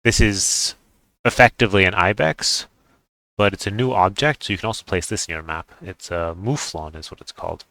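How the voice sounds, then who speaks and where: Deep-voiced man, casual game commentary. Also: moderate pace, vocal fry, sharp tone, no room sound, rough recording. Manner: offhand, rambling, thinking aloud. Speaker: gaming video creator.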